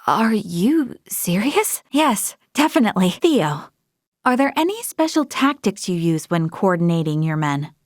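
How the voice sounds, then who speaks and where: A woman's voice: medium pitch, big pitch swings, a little slow, no echo, clean studio sound. An actress voicing an earnest, modest young woman, a space officer from a prestigious family, in a video game, speaking politely and sincerely. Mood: bright, nervous. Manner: polite, self-effacing, sincere.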